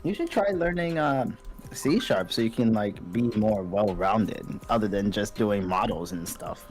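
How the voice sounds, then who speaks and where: A man's voice: on the low side, lively intonation, unhurried. A man chatting casually as an artist co-hosting a game development stream. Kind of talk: casual chat. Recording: music under the voice. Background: background music.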